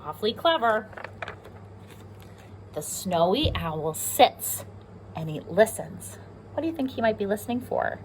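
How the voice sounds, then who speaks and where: Animated woman reading to little kids. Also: mid-range voice, unhurried, long pauses, slight background noise, rough recording. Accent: American. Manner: animated, questioning, addressing young children. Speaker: pre-K teacher. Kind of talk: children's picture book read-aloud with simple explanations.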